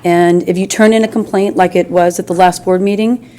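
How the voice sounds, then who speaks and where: An American woman giving an earnest, informal public address in a halting but insistent way, as a community member at a meeting. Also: lowish voice, fairly even intonation, warm tone, slight background noise. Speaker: community member speaking in public comment at a school board meeting.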